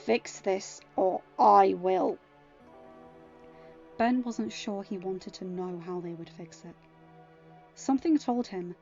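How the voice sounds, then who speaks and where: A woman reading scripted fiction as an expressive storyteller. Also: medium pitch. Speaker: fan fiction narrator. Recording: dull lo-fi audio. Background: faint music.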